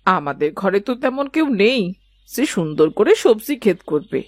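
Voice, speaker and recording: A woman as a carping older mother-in-law, very animated. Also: mid-range voice, normal speed, no room sound. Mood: complaining. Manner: carping, bossy, self-pitying. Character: scolding, complaining older village mother-in-law. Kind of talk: dramatised cartoon dialogue.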